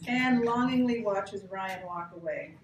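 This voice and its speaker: A woman narrates scripted stage directions at a live reading. She is a little away from the microphone, in a reverberant room with clear background noise. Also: medium pitch, a little slow. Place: cramped bookstore event, live. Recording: distant mic, echoey noisy bookshop.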